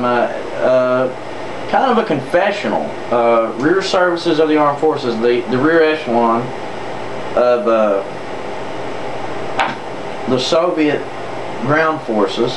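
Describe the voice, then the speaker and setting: A man with a Southern US accent delivering an off-the-cuff political monologue in a sarcastic, emphatic way, repeating phrases for effect. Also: medium pitch. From southern United States. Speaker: political vlogger. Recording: roomy, distant mic, noticeable air-conditioner-like noise.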